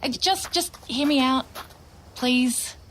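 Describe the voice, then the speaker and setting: An actress playing an earnest, pushy young podcaster, insistent and persuasive, in audio drama. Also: female voice, high-pitched, slow pace, a little hiss or hum. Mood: pleading, earnest. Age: young adult. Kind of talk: naturalistic audio drama acting.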